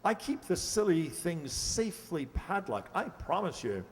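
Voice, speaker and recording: A man's voice: on the high side, big pitch swings, measured pace, a little hiss or hum. Radio play lord played by a man: dignified, particular, dramatic phrasing, reverberant room. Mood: fussy, assured. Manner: formal, dramatic storytelling. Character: fussy, dignified lord, father of bride-to-be. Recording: echoey hall reverb.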